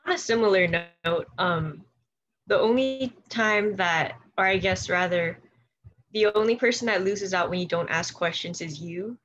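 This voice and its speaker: A woman's voice: fairly low pitch, a little slow, a bit bright, highs cut off. Young woman from Hawaii, earnest. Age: young adult. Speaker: orientation leader. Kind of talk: casual panel advice.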